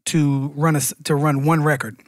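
A man's voice: fairly high pitch, level tone, moderate pace, no echo, clean studio sound. An American man hosting a podcast, asking questions casually and circling back over his phrases as he goes. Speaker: podcast host.

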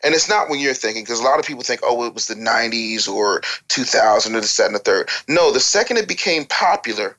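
Panelist, medium pitch, emphatic and lecturing, argues points through rhetorical questions. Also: expressive, quick pace, few pauses, a bit bright. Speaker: talk show panelist. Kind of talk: opinionated music-industry discussion.

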